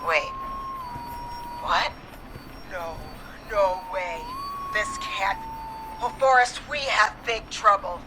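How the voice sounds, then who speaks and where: An actress voicing a frightened female police dispatcher in a horror video game, speaking urgently and haltingly. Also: medium pitch, expressive, noisy background. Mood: panicked.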